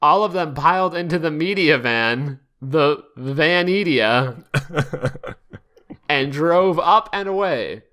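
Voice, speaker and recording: A man's voice: on the high side, expressive, slow, sharp tone. A man hosting a podcast, reading fan fiction aloud in a casual comedic way and stumbling over the odd word. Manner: often breaks into laughter, stumbles over words. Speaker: podcast host.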